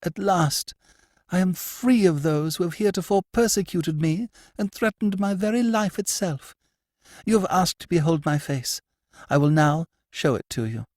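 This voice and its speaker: A man's voice: high-pitched, animated, normal speed, a little mellow, no room sound, clean studio sound, pitched above the performer's own voice. A man reading a story, voicing a veiled, agitated noblewoman with a piercing, urgent and imperious manner. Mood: fervent. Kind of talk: audiobook character voice.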